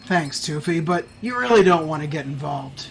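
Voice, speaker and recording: A man playing a kindly, modest store Santa who talks in a casual, gentle way. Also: on the high side, expressive, moderate pace, slight background noise. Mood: friendly, modest.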